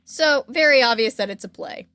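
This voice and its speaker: A woman hosting a podcast in a casual, animated storytelling style. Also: high voice, normal speed, a little hiss or hum. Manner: animated retelling, acting out imagined reactions in quotes. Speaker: podcast host.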